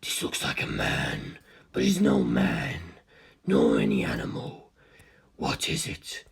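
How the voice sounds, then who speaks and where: An immortal inhuman male villain from an audiobook, in a very low, breathy half-whisper. He speaks slowly and deliberately, coldly curious and detached. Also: fairly even intonation, exaggerated voice. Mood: fascinated. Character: Kars, cold inhuman male ultimate being, calmly curious.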